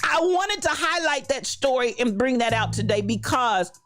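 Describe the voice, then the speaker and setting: Animated high-voiced pastor woman in her fifties. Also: normal speed, faint music behind. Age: 50s. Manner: animated, preacherly, calls out to viewers. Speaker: pastor and livestream host. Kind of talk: lively livestream talk greeting chat.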